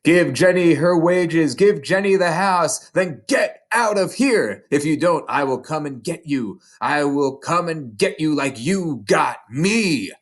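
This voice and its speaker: A reader voicing a stern, threatening male ghost who speaks slowly and deliberately. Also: mid-range voice, expressive, pitched above the performer's own voice. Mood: menacing.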